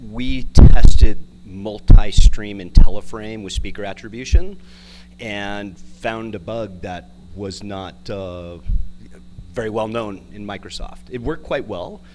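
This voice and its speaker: A man's voice: lowish voice, steady tone, takes it slowly, noisy background. A hesitant man in a conference audience, informally describing his experience. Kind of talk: informal spoken account of own experience. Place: conference session room.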